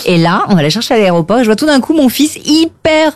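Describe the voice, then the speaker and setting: A woman's voice: a little high, very animated, quick pace, no echo. A middle-aged woman chatting casually as a radio panellist and telling anecdotes.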